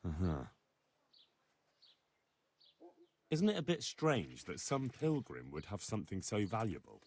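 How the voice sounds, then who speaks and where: Skeptical, low-voiced young medieval fellow. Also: male voice, wildly swooping pitch, moderate pace, a little mellow, far from the mic. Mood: skeptical, curious. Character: young medieval man, plain-spoken, skeptical, practical errand-runner. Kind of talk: video game dialogue acting.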